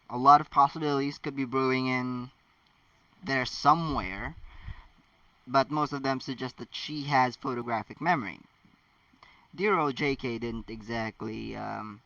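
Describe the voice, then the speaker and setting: A man's voice: medium pitch, bright, forceful tone, no echo. A man chatting casually and reading fan fiction aloud in a rambling, amused way. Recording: distant mic, dull lo-fi sound.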